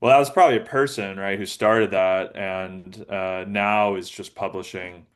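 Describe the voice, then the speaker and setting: A man answering as an interview guest, talking informally and haltingly with many filler words. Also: low voice, fairly even intonation, clear, resonant tone. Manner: informal, halting, frequent "like" and "you know". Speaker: interview guest, app founder. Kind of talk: conversational interview.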